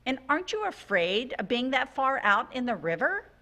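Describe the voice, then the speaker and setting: A woman's voice: high voice, very animated, normal speed, bright, forceful tone, exaggerated voice. A woman voicing a curious, eager little male mouse in a story told over a video call. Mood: timid.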